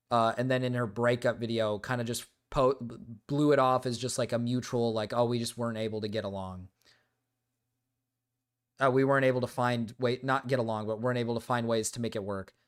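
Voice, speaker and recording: A young man streaming casually, excitable and swearing, swinging between loud indignant outbursts and low mumbled asides. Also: a little fast, clear, resonant tone. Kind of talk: casual reaction stream chat.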